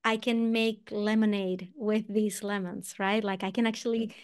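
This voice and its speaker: A woman's voice: medium pitch, little pitch movement, moderate pace, clear, resonant tone. A woman podcast host from Venezuela, a native Spanish speaker with a Venezuelan accent, asking curious follow-up questions. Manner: curious, engaged, asks probing follow-up questions. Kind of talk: interview conversation.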